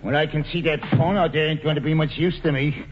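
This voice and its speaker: A man's voice: on the high side, fairly even intonation, quick pace, music in the background, lightly acted. An actor playing a cocky, good-humored racketeer in a radio play, wisecracking in tough-guy slang. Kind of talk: 1940s radio play acting. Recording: muffled, noisy 1940s radio recording.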